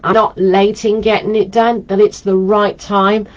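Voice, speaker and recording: A woman's voice: medium pitch, little pitch movement, measured pace, dull audio. A woman and holistic therapist, nasal and congested from a head cold, chatting in a rambling, meandering way with plenty of ums on a live stream from home. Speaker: holistic therapist hosting a weekly live video.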